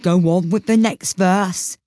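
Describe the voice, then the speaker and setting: An impatient, brusque Gryphon, as a woman reader puts him on, giving orders in a brisk, commanding way. The reading is close and dry, with no room sound. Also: on the low side, animated, darkish tone, dry recording.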